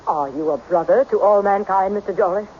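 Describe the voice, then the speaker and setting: A woman playing a mysterious, imperturbable self-styled sister to all mankind in a radio mystery, speaking formally and deliberately with quiet menace. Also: mid-range voice, natural voice. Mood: cryptic, unruffled. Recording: dull, noisy old radio recording.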